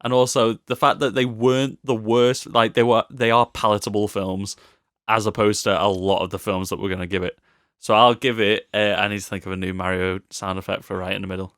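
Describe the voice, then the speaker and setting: A man's voice: lowish voice, level tone, fairly quick, a bit bright, clean tone, no room sound, clean studio sound. A man co-hosting a podcast, talking about films in a chatty, informal way. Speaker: podcast co-host. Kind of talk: casual film discussion.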